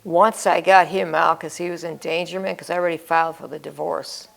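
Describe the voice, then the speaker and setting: A woman's voice: lowish voice, fairly even intonation, brisk, vocal fry. An older American woman giving a personal interview, plain-spoken, answering in short, fragmentary phrases. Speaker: interview guest. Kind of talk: personal life-story interview.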